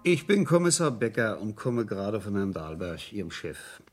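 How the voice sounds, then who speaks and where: Calm homicide cop in an old radio play, bit rough voiced, probing, pitch moves around a lot. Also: male voice, normal speed, soft background music. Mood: probing, matter-of-fact. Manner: matter-of-fact, probing, murmured acknowledgements. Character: calm, probing male homicide police inspector. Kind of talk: 1970s German radio crime drama acting.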